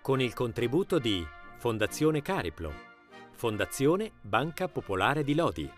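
A male audiobook narrator with a medium-pitched voice reads a prepared script. His delivery is measured and theatrical, with very lively, varied intonation. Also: soft background music.

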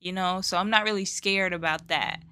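An American woman in her twenties chatting casually as a reaction host, playful, animated and slangy, close on the microphone. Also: on the low side, normal speed, slight background noise. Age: 20s. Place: small bedroom studio, indoors. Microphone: close boom-arm microphone.

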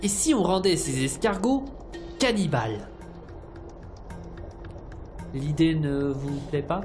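Woman doing a wordy, earnest little scientist guy in an audio drama, lively up and down delivery. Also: low voice, slow, over music. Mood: earnest, proposing. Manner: formal, wordy phrasing. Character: eccentric, earnest male scientist of small stature, defensive.

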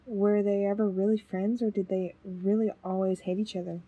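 A woman's voice: mid-range voice, flat delivery, normal speed, breathy edge, phone-quality sound, natural voice. A woman playing a thoughtful, inquisitive investigator's companion in an audio drama, with a musing, questioning manner. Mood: curious, musing. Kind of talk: fan audio drama acting.

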